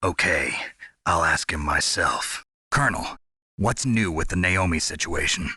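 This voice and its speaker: An actor playing a gruff, stoic soldier on a mission in a video game, with a rough, gravelly voice and a terse manner. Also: male voice, deep voice, animated, measured pace, bright, forceful tone, dry recording, clean studio sound, heavily acted. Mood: serious, disbelieving. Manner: terse, questioning, hard-boiled. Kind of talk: dramatic video game voice acting.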